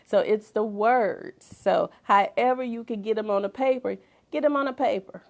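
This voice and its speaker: Gravelly-voiced woman preaching purpose. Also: mid-range voice, moderate pace. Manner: emphatic, repeats phrases for effect, drops to whispers. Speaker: motivational speaker and author. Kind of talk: inspirational talk with preaching.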